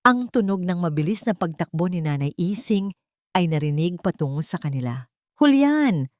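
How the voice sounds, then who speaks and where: A woman's voice: fairly low pitch, expressive, moderate pace, dry recording, studio quality. A woman reading dramatic scripted narration as an audiobook narrator.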